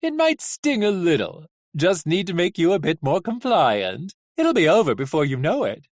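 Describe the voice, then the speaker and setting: An audiobook reader voicing a cheerful, smooth-talking male coachman, a menacing captor with theatrical, drawn-out, mock-polite speech. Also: medium pitch, big pitch swings, no echo, clean studio sound, voice pushed up. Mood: mock-apologetic. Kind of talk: audiobook character acting.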